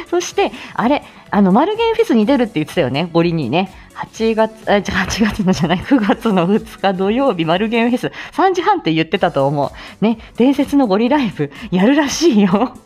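A middle-aged woman hosts a casual live audio chat. Her pitch moves in a lively way as she makes polite, giggly announcements straight to her listeners. Faint music plays behind her. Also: normal speed. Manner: chatty, giggly, polite, speaks to listeners by turns. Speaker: host of a live audio show; speech-language therapist.

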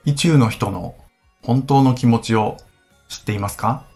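A man's voice: mid-range voice, measured pace, vocal fry, darkish tone. A man reading scripted promotional narration for a drama, with music behind him.

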